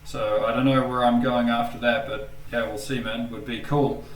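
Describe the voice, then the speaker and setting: A man's voice: medium pitch, level tone, normal speed, roomy sound, recorded from a distance, rough recording. A man with a New Zealand accent chatting casually and rambling on a video call, with lots of fillers. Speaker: host.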